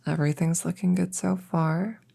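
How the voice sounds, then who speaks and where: A woman playing a friendly, goofy witch vet in a soft ASMR roleplay, gently chatty and reassuring with halting, teasing delivery. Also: lowish voice, steady tone. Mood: playful, reassuring. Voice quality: soft, breathy, often whispering, with creak.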